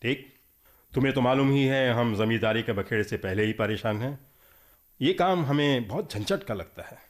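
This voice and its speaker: A man's voice: mid-range voice, expressive, moderate pace, close to an everyday voice. An actor playing a lordly landlord in a radio drama, authoritative, deliberating and weary of his estate. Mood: pondering, weary. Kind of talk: Hindi radio drama acting.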